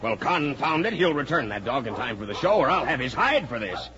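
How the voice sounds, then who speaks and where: An actor playing an indignant, imperious, demanding dog owner in a 1940s radio drama. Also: male voice, mid-range voice, very animated, brisk, crowd noise, natural voice. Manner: blustering, imperious. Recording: dull, noisy 1940s radio recording.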